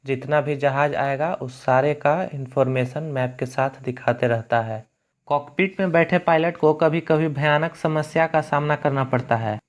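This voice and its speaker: A man narrates an educational explainer in plain, colloquial words, teaching step by step. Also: fairly high pitch.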